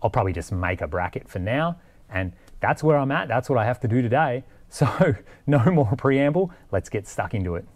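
A man's voice: mid-range voice, lively intonation, moderate pace, a little mellow. A man in his forties talking casually to camera like a DIY vlogger, thinking aloud as he explains things in a conversational way. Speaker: vlogger presenting a DIY vehicle install.

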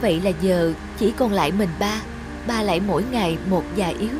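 A woman's voice: medium pitch, brisk, natural voice. A woman playing a regretful, loving grown daughter in a cartoon drama, earnest and sorrowful. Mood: wistful worry. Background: music.

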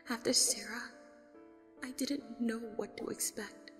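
A woman playing a haunted, grieving survivor who recounts a horror ordeal in a breathy half-whisper, as dramatic audio drama narration. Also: a little high, steady tone, unhurried, a bit bright, soft background music, heavily acted. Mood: haunted, regretful.

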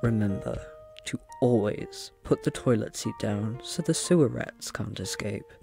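A man's voice: on the low side, measured pace, vocal fry, mellow, faint music behind. A man reading scripted podcast credits as the announcer. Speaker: credits reader.

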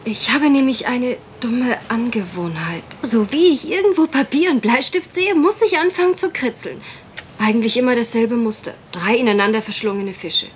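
A woman's voice: on the high side, normal speed, slight background noise, natural voice. A woman acting a genteel, worried young country heiress in a German radio mystery play, polite, well-spoken and a little hesitant. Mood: earnest, explaining.

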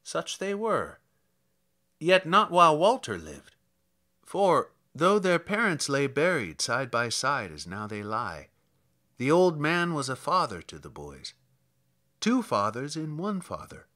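A man voicing an old, reflective country priest in a dramatic verse reading, telling tales in a measured, plainspoken way. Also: fairly high pitch, huge pitch swings, sharp tone, heavily acted. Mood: fond, mournful.

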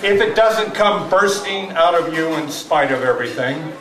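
An older man in his sixties gives a live poetry reading, with deliberate dramatic pauses and wry asides. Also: a little high, animated, crowd noise. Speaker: poetry reader at an open mic. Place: small tavern stage indoors. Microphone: stand microphone, captured from far camera. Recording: roomy live tavern, distant mic, audience noise.

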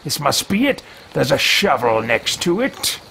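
A man's voice: fairly high pitch, big pitch swings, measured pace, vocal fry, bright, forceful tone, slight background noise, full character voice. A man voicing a formal male mage adventurer who mutters to himself in stately phrases while roleplaying a game. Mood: pleased.

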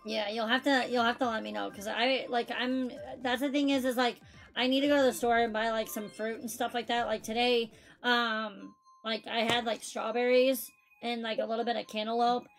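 Thirtysomething woman streaming a game, high voice, rambles with lots of "like". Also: steady tone, moderate pace, vocal fry, faint music behind. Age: 30s. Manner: chatty, fills speech with "like". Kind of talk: casual chat while gaming.

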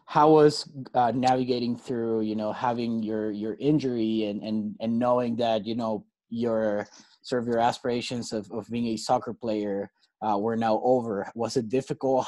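A man's voice: lowish voice, warm tone, no echo. Hesitant male interview host. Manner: hesitant, many fillers. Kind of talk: casual interview hosting.

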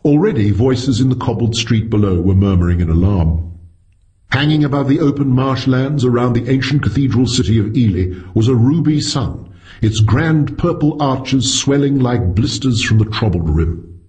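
Expressive male audiobook narrator, lively pitch. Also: moderate pace. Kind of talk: scripted narration of a science fiction story.

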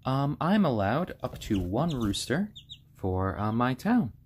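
A man with a slightly hoarse voice, chatting casually and gently on a live stream from a room at home, in halting explanations and pet talk. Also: mid-range voice, very animated. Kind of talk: casual chat on a live stream, with cooing to pets. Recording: clear background noise, bird sounds.

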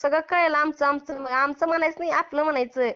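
A woman's voice: sits high, fairly even intonation, a little fast, bright, forceful tone. A Maharashtrian woman arguing heatedly on a phone call, scolding and taunting in a confrontational way. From Maharashtra, India. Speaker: prank caller who posts call recordings. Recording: dull lo-fi phone call recording.

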